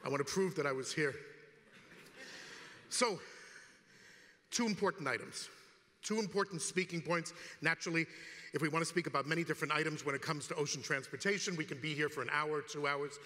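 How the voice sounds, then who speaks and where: Man in his sixties, New York accent, blunt keynote speaker, sharp forceful tone, reverberant hall. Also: on the high side, brisk, pauses often. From New York City, United States. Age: 60s. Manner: blunt, wisecracking, punchy short sentences. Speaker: shipping executive, conference speaker. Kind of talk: informal conference keynote. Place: large conference stage, indoors. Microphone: lapel. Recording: hall reverb from a stage sound system.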